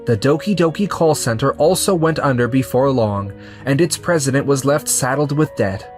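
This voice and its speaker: A man's voice: medium pitch, moderate pace, soft background music. A man narrating a manga story in a scripted, storytelling style.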